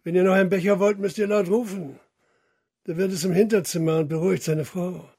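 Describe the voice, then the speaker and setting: Sly puppeteer for radio crime drama: very husky, gravelly edge, wry, measured, secretive. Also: male voice, high voice, fairly even intonation, a little mellow. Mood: dry, wry. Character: wry, unhurried male puppeteer of the old town, knowing and secretive. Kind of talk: German radio play acting.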